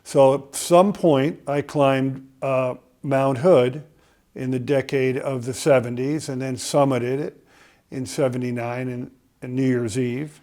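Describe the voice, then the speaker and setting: A man's voice: medium pitch, fairly even intonation, slow, a little mellow. An older man from Connecticut, a podcast guest telling a long story, with many filler sounds and restarts. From Connecticut, USA.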